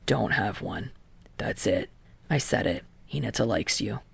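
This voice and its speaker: A blunt, grumbling teenage boy from an audiobook, acted by a woman. The voice sits very low, breathy and gravelly, with flat, halting delivery at an unhurried pace. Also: no room sound, full character voice. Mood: grudging, embarrassed.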